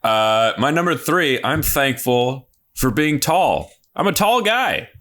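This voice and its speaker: A man with a deep voice co-hosting a comedy podcast, boasting in deadpan with crude jokes. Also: takes it slowly. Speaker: podcast co-host. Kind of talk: comedic casual chat.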